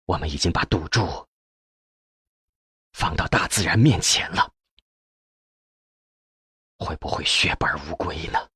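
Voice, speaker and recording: A man's voice: sits low, a little slow, long pauses, pushed and bright, slightly rough, no room sound, studio quality, pitched below the performer's own voice, full character voice. A man voicing an anxious male researcher in an audiobook, subdued and questioning, drained and full of doubt.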